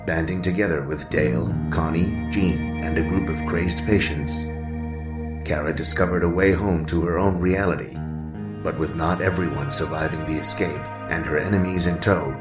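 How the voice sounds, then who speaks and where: Deep-voiced man narrating a dramatic recap. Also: steady tone, normal speed, echoey room, distant mic, over music. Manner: dramatic, grave recap delivery. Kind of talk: scripted trailer narration.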